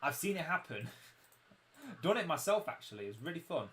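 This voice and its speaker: Croaky-voiced young man streaming a game. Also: a little high, big pitch swings, normal speed, a bit bright, slight background noise. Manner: chatty, self-mocking, explains game mechanics. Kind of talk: casual livestream commentary.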